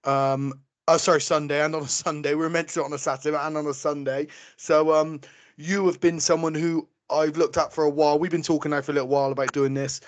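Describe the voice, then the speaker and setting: A hoarse British man hosting a podcast, chatty and rambling as he talks with his guest. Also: fairly high pitch, level tone, fast talker. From Britain. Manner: chatty, rambling, lots of fillers and asides. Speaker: podcast host. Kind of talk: casual interview talk.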